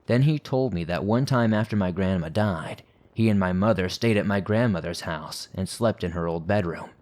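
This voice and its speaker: A man with a fairly low voice narrates scary listener stories for his podcast. He reads in a steady, measured way, keeping the telling even and unhurried. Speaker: horror podcast host. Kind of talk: scripted horror story narration.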